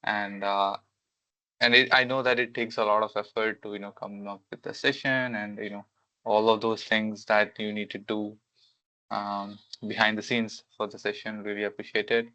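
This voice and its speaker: A man's voice: on the low side, level tone, normal speed, bright, forceful tone, no room sound, poor audio. A man hosting a webinar Q&A on a video call, speaking hesitantly with many fillers. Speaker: webinar host.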